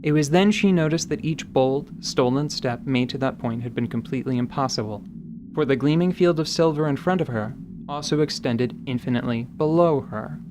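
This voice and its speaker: Male narrator, mid pitch, for dramatic fantasy audio fiction. Measured, literary delivery over audible ambience. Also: background noise. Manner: measured, literary, deliberate phrasing.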